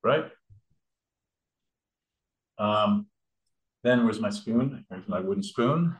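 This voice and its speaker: A man's voice: fairly low pitch, lively intonation, drawn-out words. A man demonstrating a recipe in a kitchen, explaining each step casually with many fillers and restarts. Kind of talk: casual cooking demo. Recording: echoey room.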